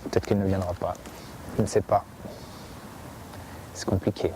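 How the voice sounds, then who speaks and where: A man's voice: deep voice, little pitch movement, moderate pace, a little hiss or hum, natural voice, poor audio. A man playing a shy, old-fashioned man who hesitantly talks about waiting for a date. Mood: wistful. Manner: hesitant and quiet.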